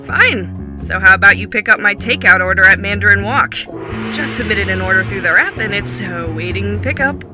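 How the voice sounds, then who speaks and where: Sassy gadget-making sidekick, played by a woman, young, sarcastic and needling, slightly low voice, music underneath. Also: lively intonation, normal speed, close to an everyday voice. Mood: bargaining. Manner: sarcastic, teasing. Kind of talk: audio drama acting. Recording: loud music bed behind voice.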